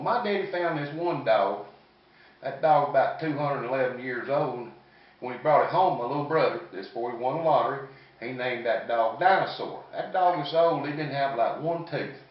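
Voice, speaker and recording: A man in his fifties with a Southern US accent doing stand-up storytelling in a folksy, rambling, salty-tongued way. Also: medium pitch. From American South. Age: 50s. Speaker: comedian. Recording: echoey, distant, muffled room recording.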